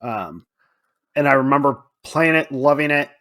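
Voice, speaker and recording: A man's voice: mid-range voice, expressive, moderate pace, pushed and bright. A man co-hosting a film podcast, talking casually and swearing freely as he acts out his own reactions. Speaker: podcast co-host.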